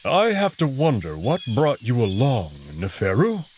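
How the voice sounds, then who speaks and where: A man playing a proud, sulky young male alien wolf noble in a visual novel, measured and haughty with some hesitation. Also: mid-range voice, wildly swooping pitch, darkish tone, slight background noise. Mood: irritated.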